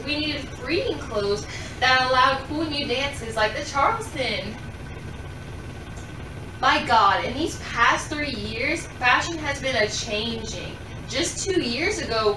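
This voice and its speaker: A young woman acts out a chatty 1920s radio fashion reporter. Her voice is high and exclamatory, recorded at a distance in an echoey room with music behind it. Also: background noise. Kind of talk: amateur 1920s radio show acting.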